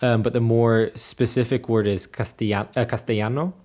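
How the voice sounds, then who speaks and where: A man's voice: medium pitch, steady tone, normal speed, a little mellow. Man chatting as podcast co-host. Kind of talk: casual chat.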